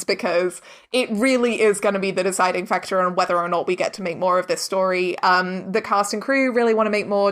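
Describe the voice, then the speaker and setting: A woman's voice: medium pitch, a bit bright. Talkative woman in a casual interview. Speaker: interviewed audio drama director and producer.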